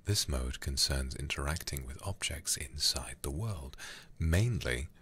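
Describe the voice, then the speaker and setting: A man livestreaming a game in an intimate ASMR style, hushed and often whispering close to the microphone. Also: a bass voice, animated, unhurried, breathy edge. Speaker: livestream host playing a video game.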